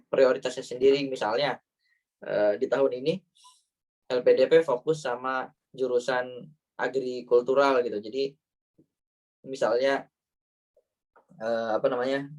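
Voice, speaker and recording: A man's voice: medium pitch, distant mic, poor audio. A man in his thirties from Indonesia with a Banyumas Ngapak accent, chatting casually as a talk show guest and using many fillers. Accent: Banyumas (Ngapak) Javanese-Indonesian. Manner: casual, with frequent fillers and "wallahu alam". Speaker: guest answering viewer questions. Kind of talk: relaxed talk show chat.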